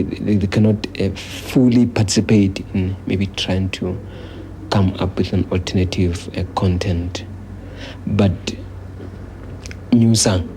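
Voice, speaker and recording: Man, South African accent, documentary interviewee. Very deep, monotone, hesitant explanatory delivery. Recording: noisy 1980s newsreel location sound.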